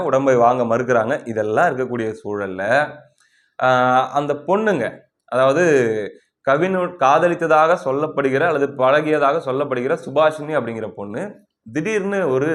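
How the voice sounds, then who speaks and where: Man, Tamil Nadu accent, mid-pitched voice, conversational commentator, explanatory, rhetorical questions. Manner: explanatory, poses rhetorical questions and answers them. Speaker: political news commentator. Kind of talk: conversational political commentary to viewers.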